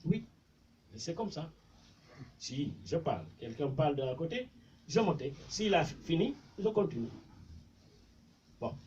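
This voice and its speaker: A man with a Senegalese French accent, whose first language is Mandinka, preaches informally, circling back over his points and reciting Arabic verses. His voice sits a little high and swings widely in pitch, with a mellow tone. He sounds distant from the mic on a dull, faintly noisy recording. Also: very animated, measured pace, pauses often. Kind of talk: informal religious talk.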